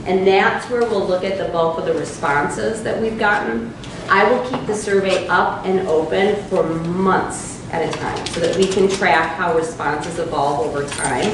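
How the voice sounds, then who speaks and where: A woman's voice: on the low side, unhurried. A woman leading a public housing meeting, walking the group through a survey with frequent fillers. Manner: explanatory, many fillers. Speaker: meeting facilitator. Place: church hall meeting. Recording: echoey room from far off with noise.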